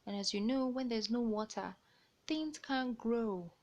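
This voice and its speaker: A woman hosting a children's storybook read-aloud, explaining things simply and deliberately for young listeners. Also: fairly high pitch, a little mellow, dull audio, a little hiss or hum.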